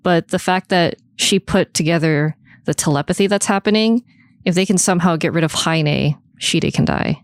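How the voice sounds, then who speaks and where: A woman's voice: lowish voice, moderate pace, no echo. A woman co-hosting a podcast in casual conversation. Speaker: podcast co-host. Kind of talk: casual chat.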